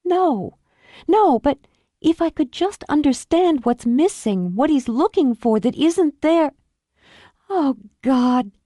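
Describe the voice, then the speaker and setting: A weeping young mother pleads in a high, cracking voice, put on by a woman narrator. The tone stays mellow and soft-edged. The sound is close and dry, with no room around it. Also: lively intonation, takes it slowly, no room sound. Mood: pleading. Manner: breathless, pleading, voice breaking high. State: tearful.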